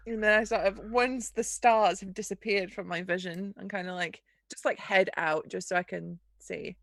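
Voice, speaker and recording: A woman's voice: mid-range voice, steady tone, moderate pace, gravelly, hardly any pauses, fairly bright tone, dry recording. A woman playing a tabletop role-playing game, chatting casually and improvising her character's actions and lines.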